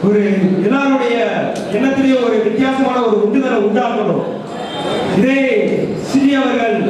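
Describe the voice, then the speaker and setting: A very high-pitched man with a Tamil Nadu accent makes a fiery political speech. He is loud and impassioned, exhorting like a community activist. The sound is heavily echoing and distant from the microphone, with a noisy crowd around. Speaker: community organization activist and speaker. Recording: echoey public meeting, distant microphone, crowd noise.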